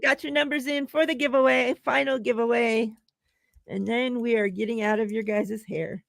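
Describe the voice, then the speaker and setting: A woman's voice: a little high, steady tone, normal speed, creaky, no room sound, clean studio sound. A woman hosting a casual sports podcast livestream, who laughs often and draws out her words while running giveaways. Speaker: podcast host. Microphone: remote livestream call.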